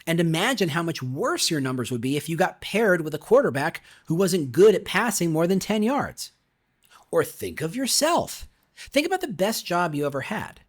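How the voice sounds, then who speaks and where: A man reading his own nonfiction book in a conversational way, with rhetorical questions and emphatic asides. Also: fairly high pitch. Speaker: author reading own audiobook. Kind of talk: conversational scripted nonfiction narration.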